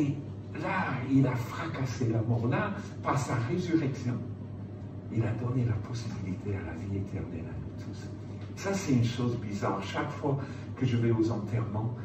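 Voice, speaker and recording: A man preaching an evangelical sermon, emphatic, repeating phrases and putting rhetorical questions to his listeners. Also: mid-range voice. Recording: roomy, distant mic, loud background noise.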